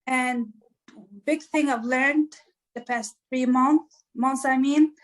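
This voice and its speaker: A woman's voice: sits high, steady tone, slow, rough recording. A woman from East Africa, a musician guest, answering interview questions in an earnest, motivational way with lots of "like" fillers.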